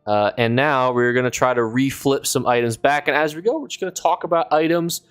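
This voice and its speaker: Man, mid-pitch, scratchy from a cold, rambling streamer banter at a desk mic. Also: fairly quick, smooth, soft background music. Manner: rambling, thinking aloud, reads chat. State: losing his voice, sick. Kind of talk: casual live-stream chat while gaming.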